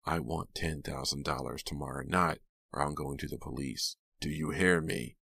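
A man voicing a menacing male attacker in a raspy, breathy whisper for a thriller audiobook. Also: rumbling low, animated, slow pace, pushed and bright, dry recording, heavily acted. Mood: threatening, demanding.

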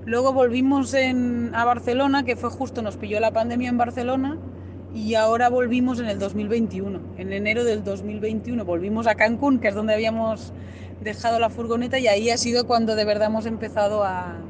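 A woman's voice: fairly high pitch, fairly even intonation, brisk. A woman from Spain with a Spanish accent, a guest chatting upbeat and easygoing in a podcast interview. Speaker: guest traveler. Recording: noticeable background noise.

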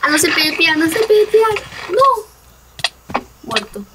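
A woman's voice: extremely high pitch, big pitch swings, a little slow. Brief: young Peruvian woman gamer, playful and laughing, noisy far-off mic. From Peru. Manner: playful, teasing, laughs a lot. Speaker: gaming channel host. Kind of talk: casual gameplay chat.

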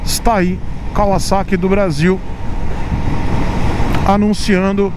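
A Brazilian man with a fairly high voice rides through city traffic and chats into his helmet mic. He talks in a teasing, chatty way, drawing words out for emphasis, while traffic and engine noise run clearly under him. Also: level tone, slow. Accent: Brazilian Portuguese. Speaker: motovlogger. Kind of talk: riding commentary vlog. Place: outdoors on a motorcycle in city traffic.

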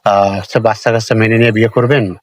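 A man's voice: on the low side, fairly even intonation, fast talker, no room sound, clean studio sound. A man hosting an interview, politely asking questions and checking answers back. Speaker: interviewer and channel host. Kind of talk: on-camera interview for an online channel.

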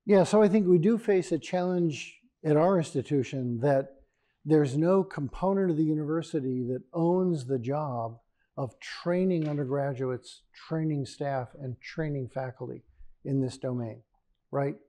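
An older man speaks with a soft, mellow tone and a slightly high voice. He answers audience questions as a professor in a large lecture hall, often tagging his points with "right?". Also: a little slow. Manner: ends points with a tag "right?".

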